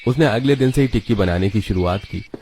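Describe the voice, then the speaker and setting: A man's voice: lowish voice, fairly quick, warm tone, slight background noise. A man reading scripted storybook narration for a children's cartoon.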